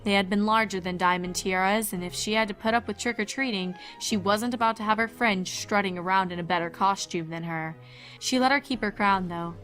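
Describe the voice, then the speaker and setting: A woman's voice: mid-range voice, brisk, fairly bright tone. Woman narrating a story over faint music. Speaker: fan fiction narrator. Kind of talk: expressive scripted story narration.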